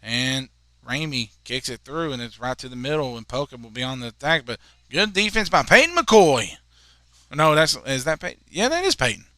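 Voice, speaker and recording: A man in his twenties calling a high school soccer game, chatting in rambling asides between plays. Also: sing-song, normal speed, pushed and bright, dry recording. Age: 20s. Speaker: play-by-play commentator.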